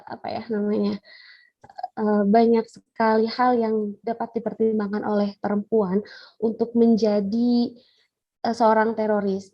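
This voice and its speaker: A woman with an Indonesian accent answers questions about her research, pausing often to search for her words. Her voice sits a little high. It comes through dull, lo-fi video call audio. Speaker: researcher presenting her study. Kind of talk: conference Q&A answer.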